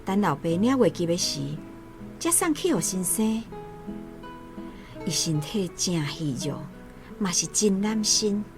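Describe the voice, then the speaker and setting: A woman's voice: medium pitch, lively intonation, unhurried, pauses often, natural voice. A woman playing a hardworking mother of many children, scolding yet tender, in a radio drama with a Taiwanese accent. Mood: weary, worried. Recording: guitar music under the voice.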